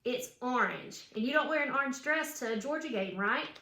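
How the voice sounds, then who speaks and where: A woman's voice: high voice, expressive, moderate pace, bright, forceful tone, slight background noise. A woman reads a children's picture book aloud with chatty asides, putting questions and emphatic cheers to young listeners. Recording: roomy, echoing room sound.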